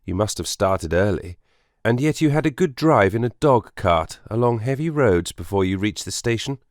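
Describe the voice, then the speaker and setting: An actor playing a courteous, self-assured Victorian gentleman detective in an audiobook drama, precise and soothing. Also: fairly low pitch, lively intonation, normal speed, no room sound, studio quality, natural voice. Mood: confident, deductive. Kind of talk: full-cast audiobook drama acting.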